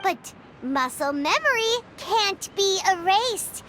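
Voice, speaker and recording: A woman voicing a tiny, excitable childlike fairy companion in a video game, with a squeaky voice. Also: slow, background noise, heavily acted. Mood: excited realization. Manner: excitable, speaks of herself in third person. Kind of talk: video game cutscene acting.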